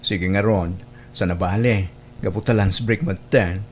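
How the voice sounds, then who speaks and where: An earnest, admonishing friend, faint noise. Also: male voice, low voice, fairly even intonation, unhurried. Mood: guarded. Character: concerned confidant urging a straying man toward his family. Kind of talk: Ilocano family radio drama acting.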